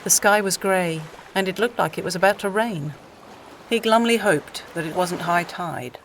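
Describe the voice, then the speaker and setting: A woman's voice: lowish voice, moderate pace, noisy background. A woman reading scripted story narration for an audio play. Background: music.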